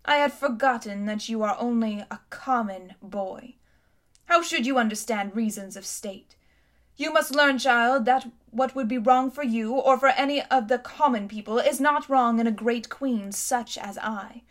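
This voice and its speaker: A woman voicing a cold, imperious tyrant queen in a storybook reading, speaking loudly and grandly. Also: on the high side, measured pace, natural voice. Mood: haughty. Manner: haughty, commanding, grand.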